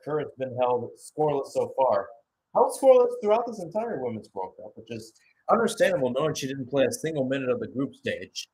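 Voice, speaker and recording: A man's voice: mid-range voice, poor audio. A man calling a football match live as a radio-style play-by-play commentator. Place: commentating remotely, not at the stadium.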